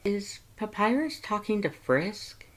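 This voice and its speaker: A man plays a curious young human in a storybook, pitched up high. The manner is tentative and questioning, with good humour. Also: very animated, slow pace, fairly bright tone, heavily acted.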